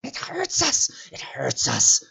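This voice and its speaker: A man voicing a wretched, cringing, sly creature in a storybook, whining and fawning in a hissing, hoarse whisper with drawn-out, repetitive pleas. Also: mid-range voice, huge pitch swings, bright, forceful tone, voice pushed up, heavily acted. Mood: anguished, hissing. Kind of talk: storybook character voice.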